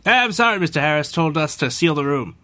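A man's voice: on the high side, lively intonation, fast, pushed and bright. A man playing a suspicious, officious museum guard in an old-time radio drama parody. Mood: suspicious, firm.

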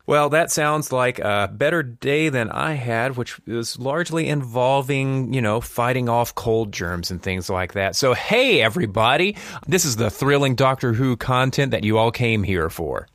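A man's voice: medium pitch, expressive, unhurried, a bit bright, no room sound. A man hosting a podcast, talking through news in a chatty, explanatory way and putting questions to a co-host. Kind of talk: conversational news commentary.

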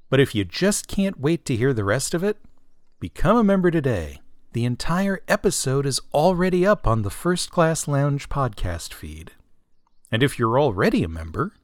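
A man's voice: mid-range voice, dry recording, studio quality. A man reading a scripted promotional announcement in the role of show announcer.

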